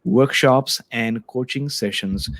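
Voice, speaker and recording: A man's voice: medium pitch, takes it slowly, fairly bright tone, dry recording. Male podcast host, upbeat, some fillers, dry close sound. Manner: upbeat, scripted intro with fillers. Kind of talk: podcast interview hosting.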